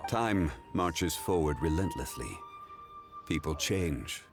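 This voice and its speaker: A low, composed voice of a refined gentleman in a video game. He speaks formally and eloquently, contemplative and unhurried in manner. Music plays clearly underneath. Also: lively intonation, full character voice. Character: dignified, leisurely, ancient-souled gentleman of refinement. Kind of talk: English video game voice acting. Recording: music behind the voice.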